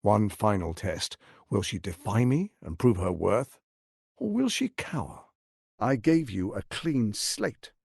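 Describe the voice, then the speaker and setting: Scheming villain lord from a game, pompous n silky, pitch swings all over, gravelly edge, dry close recording. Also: male voice, big pitch swings, a little mellow, dry recording, heavily acted. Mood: measured, menacing. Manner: pompous, silky, theatrical delivery with deliberate emphasis. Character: smooth-tongued, scheming tyrant lord, grandiose and condescending. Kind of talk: theatrical video game voice acting.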